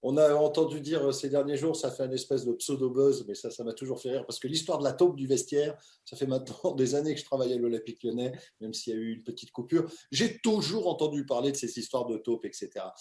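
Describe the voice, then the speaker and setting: A man hosting a casual interview from his home, an emphatic and lively presenter. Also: mid-range voice, fast, hardly any pauses, reverberant. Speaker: host. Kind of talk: casual video-call interview.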